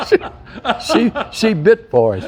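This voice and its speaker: A man's voice: high voice, wildly swooping pitch, slow pace, a little mellow, slight background noise. A man in his nineties, a war veteran being interviewed, telling folksy stories with a chuckle. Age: 90s. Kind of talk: interview conversation.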